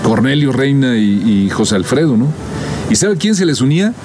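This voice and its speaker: Mexican man, hesitant storyteller over rumbling noise. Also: big pitch swings, normal speed. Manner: hesitant, repeats words, seeks agreement. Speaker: host. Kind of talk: informal storytelling about music history. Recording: clear vehicle-like rumbling background noise.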